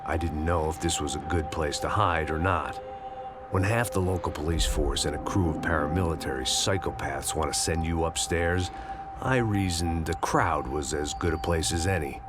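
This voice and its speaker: An actor playing a weary, hard-bitten middle-aged American action hero in a game, with a deadpan, sardonic manner. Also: male voice, a bass voice, moderate pace. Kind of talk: noir scripted narration and game dialogue. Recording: loud music over the voice.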